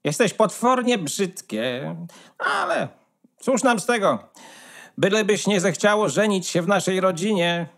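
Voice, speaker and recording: Creaky, high-pitched haughty wild duck. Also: male voice, sing-song, unhurried, a bit bright, heavily acted. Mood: blunt, scornful. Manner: blunt, dismissive. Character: brusque, haughty wild duck sizing up a stranger. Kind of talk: radio play of a fairy tale.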